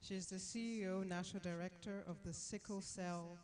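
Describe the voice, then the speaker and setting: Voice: mid-range voice, fairly even intonation, normal speed, darkish tone. A conference emcee introducing the next speakers in a casual way, checking microphones and apologizing between introductions. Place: conference venue.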